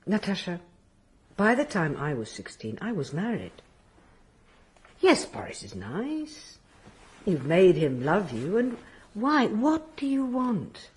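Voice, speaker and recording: A woman's voice: mid-range voice, huge pitch swings, takes it slowly, pauses often, darkish tone. An actress playing a practical aristocratic mother, gracious but firm and reproving, in a radio drama.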